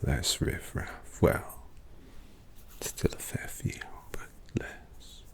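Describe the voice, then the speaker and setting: A man voicing a sardonic old male vampire painter who drawls intimately in a gravelly whisper, as an ASMR roleplay. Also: very slow, darkish tone. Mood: snide whisper.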